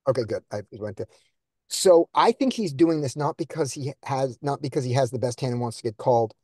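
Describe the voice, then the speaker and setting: A man's voice: medium pitch, expressive, fairly quick, a little mellow, dry recording, studio quality. A man coaching a group through poker hands on a video call, thinking aloud and quizzing his students. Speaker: poker coach leading a study session.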